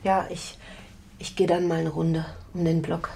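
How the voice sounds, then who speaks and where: An actress playing an anxious woman in a radio crime drama, urgent and reproachful, with a slightly husky voice. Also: fairly low pitch, level tone, a little slow, darkish tone, slight background noise. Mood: hesitant, subdued. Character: anxious, scolding working-class woman, pleading and easily cowed.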